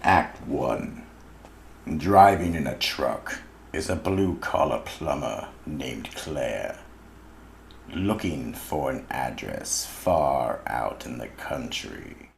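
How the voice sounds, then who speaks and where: A man's voice: rumbling low, expressive, vocal fry, slight background noise, full character voice. A man playing an ominous, grave narrator of a radio mystery theatre, solemn and theatrical. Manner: solemn, deliberate.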